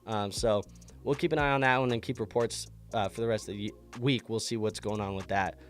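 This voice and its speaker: A man's voice: fairly low pitch, steady tone, fairly quick, faint music behind. A man hosting a fantasy football show, congested from an illness, talking through a casual sports recap with a loose, chatty delivery and frequent restarts.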